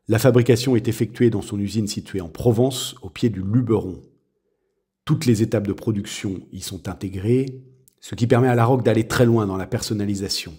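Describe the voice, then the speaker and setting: A man reads a scripted sponsor message in an even, measured way. His voice is low and slightly husky, with a mellow tone. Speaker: sponsor announcer. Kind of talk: scripted ad read.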